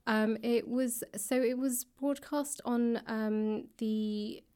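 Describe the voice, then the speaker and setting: A woman answering as a guest in a podcast interview, speaking conversationally in a thoughtful, hesitant way with frequent pauses. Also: a little high, steady tone, warm tone, clean tone. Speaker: guest author and actor. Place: library room.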